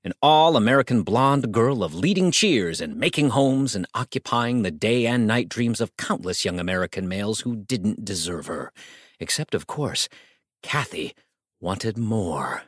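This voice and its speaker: Animated male audiobook narrator, dry sound. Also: on the low side, a little slow, no echo. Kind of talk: scripted dramatic story narration.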